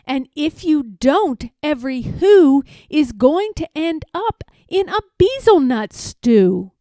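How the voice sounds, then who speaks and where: A woman's voice: high-pitched, big pitch swings, takes it slowly, dark tone, no room sound, studio quality, voice pushed up, exaggerated voice. A woman voicing a big, loyal male elephant, earnest, urgent and encouraging, in a children's storybook. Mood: alarmed, pleading. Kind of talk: children's storybook read-aloud.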